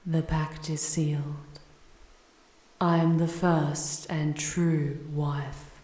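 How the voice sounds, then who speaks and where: A woman voicing a seductive, proud, ancient female demon in an ASMR audio roleplay, speaking formally and deliberately with a teasing, commanding charm. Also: low-pitched, little pitch movement, warm tone, husky, heavily acted. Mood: intimate, commanding.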